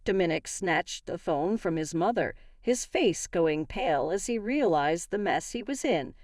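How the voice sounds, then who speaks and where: Female story narrator, slightly low voice, lively pitch movement, dry close sound, expressive scripted delivery. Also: a little slow, dry recording. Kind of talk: scripted dramatic first-person narration.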